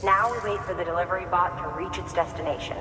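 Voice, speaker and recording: A calm young actress as a woman engineer in a game cutscene, explaining things matter-of-factly. Her voice rings in a reverberant hall, with music underneath. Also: on the low side, fast talker, close to an everyday voice. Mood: businesslike. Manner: matter-of-fact, instructional. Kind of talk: naturalistic video game cutscene acting. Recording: echoey hall, music behind.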